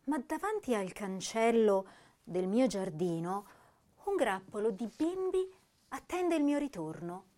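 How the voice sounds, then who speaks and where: A woman playing a sensitive, melancholy young poet, reciting her verse in a deliberate, emotive, lingering way. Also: fairly high pitch, very animated, mellow. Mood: melancholy, self-conscious. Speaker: actress reciting poetry. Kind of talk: dramatic poetry recitation.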